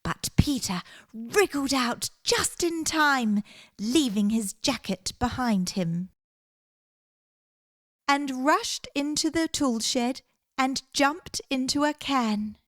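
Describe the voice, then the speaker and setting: Female storyteller, higher-pitched voice, very unhurried pace, gentle expressive read-aloud, children's tale. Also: no echo, clean studio sound.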